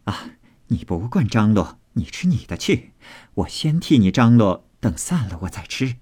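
A man voicing a brisk, bossy, teasing young lady of the house in a storybook, bantering and full of exclamations. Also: on the low side, sing-song, dark tone, breathy edge.

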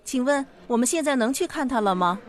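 A woman playing a patient mother who explains things gently in a radio drama. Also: high-pitched, expressive, fairly quick. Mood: relieved.

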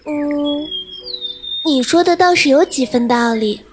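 Woman as a high-pitched, curious young fish-spirit maiden. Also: takes it slowly, over music. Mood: playful, curious. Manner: playful, naively inquisitive. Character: curious, innocent young carp-spirit maiden in human form. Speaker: voice actress. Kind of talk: audio drama acting.

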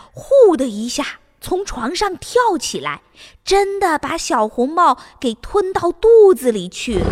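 A woman narrating a fairy tale for children in an expressive storytelling manner. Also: a little high, big-room sound, background noise. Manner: expressive, spoken to young listeners with sentence-end particles. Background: music.

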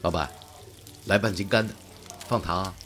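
A man playing a polite, reserved young working man in an audio drama, speaking in a terse, low-key way. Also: moderate pace, a bit bright. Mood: casual. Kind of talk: Chinese audio drama dialogue.

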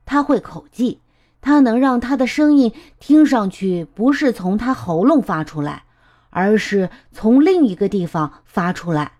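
A woman voicing a friendly, patient, playful storybook elephant with an expressive, teasing manner. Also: a little high, a little slow, dull audio. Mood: amused, explaining.

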